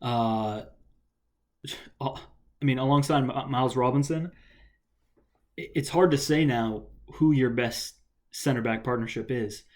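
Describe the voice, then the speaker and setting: A man's voice: medium pitch, slow pace, long pauses, darkish tone. A young American man talking casually about a soccer match in a solo vlog, rambling with lots of fillers and restarts. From United States. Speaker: soccer vlogger.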